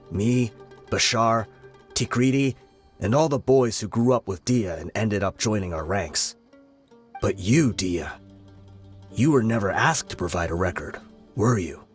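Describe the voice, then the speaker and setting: A calm, weary gang veteran. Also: male voice, lowish voice, unhurried, pauses often, faint music behind. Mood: solemn. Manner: calm, explanatory. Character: weary older male gang member, explaining calmly.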